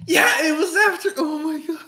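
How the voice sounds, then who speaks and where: A man chatting casually on a group podcast, excitable and prone to repeating his words. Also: extremely high pitch, normal speed. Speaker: podcast host or regular.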